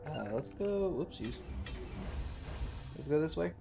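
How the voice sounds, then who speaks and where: Gravelly-voiced man streaming a game. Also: fairly high pitch, expressive, slow pace, over music. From Southern United States. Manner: profane, slangy, jokey. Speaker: livestreamer. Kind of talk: casual game stream chat and commentary.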